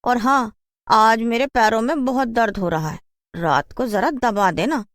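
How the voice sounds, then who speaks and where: A woman plays a scheming cartoon housewife. Her high voice is haughty and commanding, recorded dry and close. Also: unhurried, no echo, close to an everyday voice. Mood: haughty bossy. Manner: commanding, mocking. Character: bossy, scheming first wife, haughty and mean. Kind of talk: cartoon story acting.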